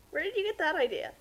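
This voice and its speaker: Girl with a high bright voice, casual joking commentary, nitpicks stuff for fun. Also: poor audio. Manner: playfully nitpicking.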